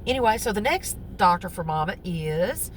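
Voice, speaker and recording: A woman's voice: medium pitch, lively intonation, moderate pace, a little hiss or hum. A woman with a Southern accent, chatting casually to camera as a crochet vlogger, rambling with many ums and self-corrections. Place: outdoors on a lunch break.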